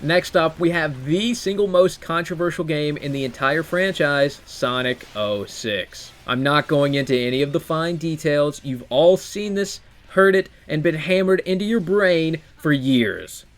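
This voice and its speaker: A man's voice: fairly high pitch, unhurried, slight background noise. A young man giving casual scripted commentary on video games, as the host of a ranking video essay. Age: young adult. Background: music.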